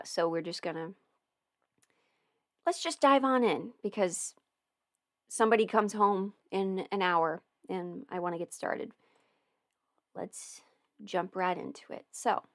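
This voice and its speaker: A woman's voice: lowish voice, no room sound. Woman thinking aloud, dry close sound. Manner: hesitant, self-interrupting, thinking aloud. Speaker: livestream host, audio roleplay creator. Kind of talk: casual rambling livestream Q&A.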